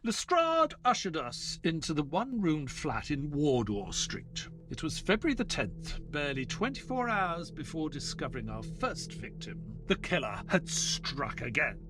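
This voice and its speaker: An actor playing an earnest male doctor who narrates an audio drama as his memoir, with expressive, dramatic delivery. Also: on the high side, unhurried, few pauses, music in the background. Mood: grave, storytelling.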